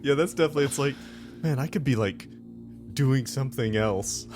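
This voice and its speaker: A man's voice: mid-range voice, fairly quick, music in the background. A man hosting a tabletop game show as game master, chatting and recapping casually in a rambling way, often correcting himself.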